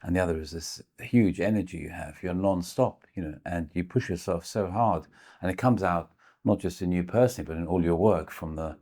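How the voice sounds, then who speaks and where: Deep-voiced male interviewer, probing. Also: a little fast, dark tone, slightly rough. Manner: probing, sums up the guest's traits in loose run-on sentences. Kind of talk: conversational interview.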